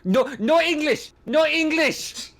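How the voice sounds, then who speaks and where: A man's voice: extremely high pitch, slow pace, creaky, fairly bright tone, voice pushed up, exaggerated voice. A man voicing a terrified captured Russian soldier who stammers and pleads under interrogation. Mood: panicked, pleading. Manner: panicked, stammering.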